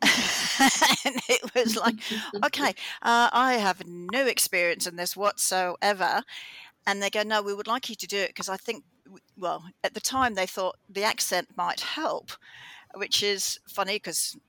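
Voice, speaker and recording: A woman with a mixed English and Australian accent, a radio show host chatting in an interview, telling her story in an animated way with quick restarts. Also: mid-range voice, dry recording, rough recording. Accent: mix of English and Australian. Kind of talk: conversational interview answer.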